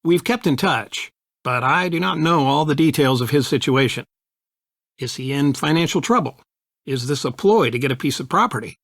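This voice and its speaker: A supportive, analytical middle-aged father in a mystery video game. He speaks with an American accent in a measured way, in a very dry recording. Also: a little high, expressive, a bit bright, no echo. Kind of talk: video game dialogue acting.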